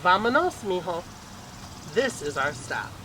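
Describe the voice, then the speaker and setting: Reassuring storybook grandma, male reader, gentle short phrases, highish and expressive pitch. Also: unhurried, distant mic, over music. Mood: affectionate. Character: affectionate grandmother, calm and reassuring.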